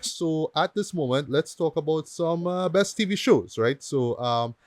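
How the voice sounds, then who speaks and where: A man's voice: on the high side, measured pace, a little mellow, dry recording, clean studio sound. A man hosting a casual panel chat, often repeating short phrases and affirmations. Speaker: podcast host.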